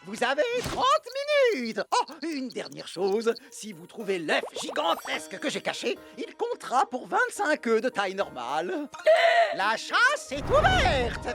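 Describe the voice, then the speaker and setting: A voice actor playing a jovial, theatrical contest announcer in an animated cartoon, with a showy, proclaiming delivery. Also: mid-range voice, measured pace, vocal fry, exaggerated voice. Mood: excited, official. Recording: loud cartoon music behind.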